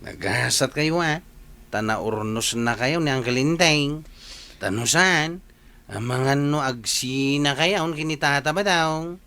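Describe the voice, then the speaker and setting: A man's voice: fairly high pitch, takes it slowly, bright, forceful tone, exaggerated voice. A man playing a frank younger villager who admonishes his elders in a radio comedy drama, with an Ilocano accent. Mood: admonishing, reassuring.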